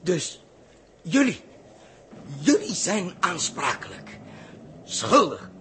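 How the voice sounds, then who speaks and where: A bewildered, agitated man in a sixties radio play, his voice fairly high. He is indignant and accusing, stammering and repeating words with emphasis, on a faintly noisy old recording. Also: huge pitch swings, slow pace, fairly bright tone, exaggerated voice. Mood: horrified, accusing. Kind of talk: 1960s Dutch radio drama acting.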